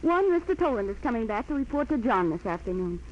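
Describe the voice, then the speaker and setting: An actress playing a frank, coaxing young fiancée with an American accent in a 1940s radio drama. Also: female voice, fairly high pitch, sing-song, fast. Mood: frank, loving. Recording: dull, noisy old 1940s radio recording.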